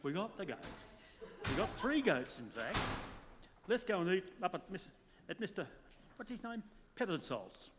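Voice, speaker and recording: Whiny, exasperated sidekick photographer played by a man, high and jumpy, stammering complaints, radio play in an echoey, noisy hall. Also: big pitch swings, normal speed, long pauses. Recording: echoey hall, clear background noise.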